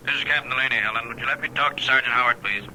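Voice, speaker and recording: An actor playing a brisk, commanding police captain over the telephone in a 1940s radio drama. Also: male voice, medium pitch. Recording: sharp, hissy old radio phone line.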